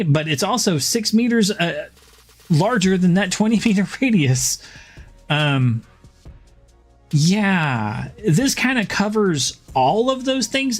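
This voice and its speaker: A game streamer chatting casually about a build, laid-back and often laughing. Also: medium pitch. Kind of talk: casual gameplay chat.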